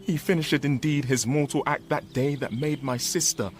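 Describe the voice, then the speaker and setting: A man's voice: a little high, steady tone, moderate pace, slight background noise, lightly acted. An actor playing an ardent young nobleman and long-lost twin in a radio Shakespeare drama, heartfelt and earnest. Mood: awed wonder. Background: music.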